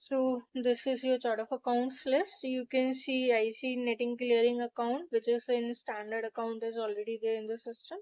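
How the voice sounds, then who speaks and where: Woman, high voice, instructional screen-tutorial narration, methodical, lo-fi dull audio. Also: flat delivery, normal speed, hardly any pauses, a little mellow. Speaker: software tutorial presenter.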